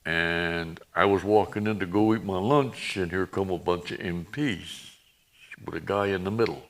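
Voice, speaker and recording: A man in his eighties, a veteran guest reminiscing in plain-spoken, halting, folksy talk. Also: sits low, steady tone. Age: 80s. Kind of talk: reminiscing in a conversation.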